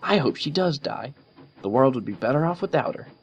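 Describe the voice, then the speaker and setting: Male reader doing a blunt, defiant tomboy pony mare, pitched well up from his own voice, loud and punchy; dull lo-fi audio. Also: sing-song, normal speed. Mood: scornful. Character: brash tomboyish young female pegasus pony.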